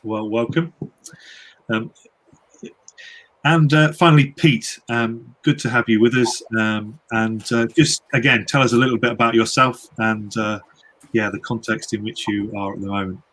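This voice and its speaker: A man moderating an informal live online discussion, with a halting, hesitant way of phrasing things. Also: lowish voice, steady tone, fairly bright tone, rough recording. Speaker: host, panel moderator.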